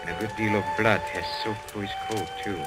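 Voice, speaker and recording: A man's voice: fairly low pitch, steady tone, fairly quick, loud music behind the voice, natural voice. A man acting in an old-time radio mystery as a courteous, faintly mocking medium, speaking. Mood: grave, composed. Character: suave, theatrical Frenchman, a séance medium under suspicion. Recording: noisy old radio recording.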